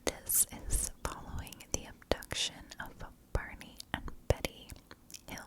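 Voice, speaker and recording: A woman telling a story as whispered ASMR narration, hushed and in short phrases. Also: measured pace. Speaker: ASMR true crime storyteller, channel host.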